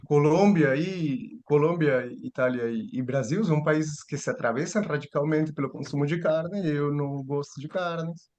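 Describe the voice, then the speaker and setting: A man's voice: a little high, moderate pace, few pauses, a little mellow. A man giving a seminar lecture, thinking aloud with restarts and rhetorical questions.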